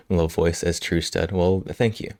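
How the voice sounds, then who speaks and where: A male livestream host chatting casually with viewers, slightly hoarse from a cold, relaxed and quick to laugh. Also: low-pitched, no echo, studio quality. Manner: relaxed, laughs often, answers chat. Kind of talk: casual chat with live chat. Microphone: studio microphone.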